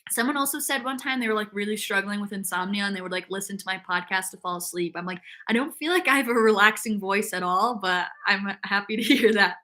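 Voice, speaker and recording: Bright, chatty young woman. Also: mid-range voice, quick pace, hardly any pauses. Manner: chatty, upbeat, many fillers like "like". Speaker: fitness YouTuber hosting a livestream. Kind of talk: casual livestream chat reading comments.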